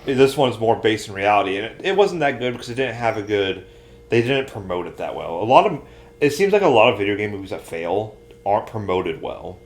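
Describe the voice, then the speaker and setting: Male podcast co-host, lowish voice, opinionated and emphatic, conversational delivery. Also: big pitch swings, brisk. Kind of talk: casual chat about pop culture.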